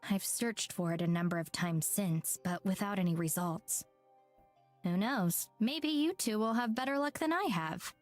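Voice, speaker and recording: Lady doing an intense guarded woman from a visual novel, brusque and earnest, mid pitched, matter of fact. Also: moderate pace, faint music behind, lightly acted.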